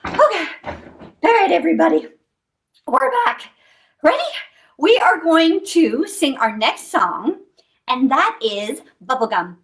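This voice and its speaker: A woman hosts storytime for young children in an extremely high voice. Her delivery is animated and swooping, playful and full of exclamations aimed directly at the kids. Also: unhurried, long pauses.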